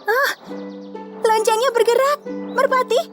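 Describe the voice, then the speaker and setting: A woman's voice: very high-pitched, steady tone, a little slow, pitched above the performer's own voice. A woman voicing Cinderella, an earnest, eager young woman in a children's cartoon. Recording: long reverb with music behind.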